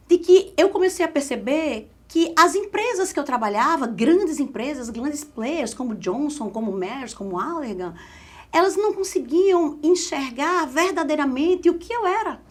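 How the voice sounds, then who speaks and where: A woman in her forties from Caruaru with a Pernambuco Brazilian accent, telling her career story as an interview guest in an expressive, emphatic way. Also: sits high, moderate pace. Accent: Pernambuco Brazilian Portuguese. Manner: expressive, emphatic, lively storytelling with repeated phrases. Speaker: guest, communication specialist.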